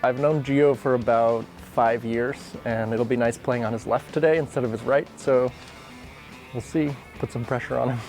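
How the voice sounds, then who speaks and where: Male player interviewee, medium pitch, casual delivery, light music underneath. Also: fairly even intonation, moderate pace, a little mellow. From New York state. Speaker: poker player being interviewed.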